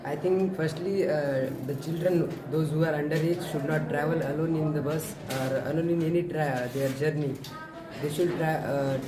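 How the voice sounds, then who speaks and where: A man's voice: on the high side, noisy background. A teenage male student with an Indian accent giving an answer in class. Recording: echoey classroom with crowd murmur.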